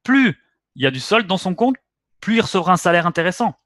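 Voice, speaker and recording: A man's voice: sits high, sing-song, quick pace, fairly bright tone, no room sound, clean studio sound. A man hosting a trading webinar, talking bluntly and lecturing his viewers directly. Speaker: webinar host.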